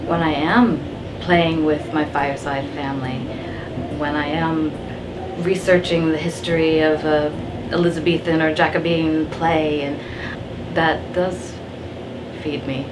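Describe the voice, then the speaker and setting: Female guest, low voice, reflective and halting, recorded off-mic with clear hum of room noise. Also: flat delivery, recorded from a distance. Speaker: interview guest. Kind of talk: reflective interview talk.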